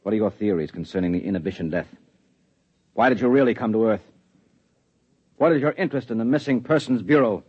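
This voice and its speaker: A man's voice: lowish voice, fairly quick, pauses often, breathy edge. An actor playing a hard Earthman interrogating an alien in a 1950s radio drama, clipped and menacing. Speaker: husband in a radio play. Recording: dull vintage radio recording.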